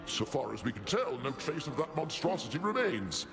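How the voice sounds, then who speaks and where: A man's voice: a little high, big pitch swings, moderate pace, creaky. A man voicing a jovial, grandiose king of the gods in a video game, proclaiming in a pompous, theatrical way. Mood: jubilant. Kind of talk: scripted video game voice acting. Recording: music behind the voice.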